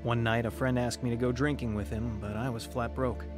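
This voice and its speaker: A man's voice: medium pitch, flat delivery, fairly quick, lightly acted. An actor playing a troubled, searching young man who tells his own story earnestly in a radio drama. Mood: somber, reflective. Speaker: narrator and lead actor. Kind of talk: dramatized first-person radio testimony. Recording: music behind the voice.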